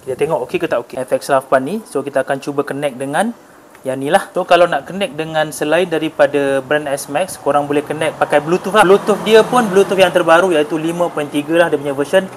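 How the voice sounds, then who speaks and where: A man's voice: fairly high pitch, fast talker. A man with a Malaysian accent giving a casual, hands-on product demonstration, mixing in English tech terms. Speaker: motorcycle gear reviewer. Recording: clearly noisy room recording.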